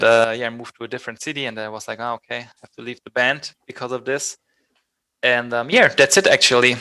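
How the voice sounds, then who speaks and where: A man's voice: mid-range voice, pushed and bright, clean tone. A man from southern Germany with a German accent, speaking casually as an interview guest. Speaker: band vocalist, interview guest.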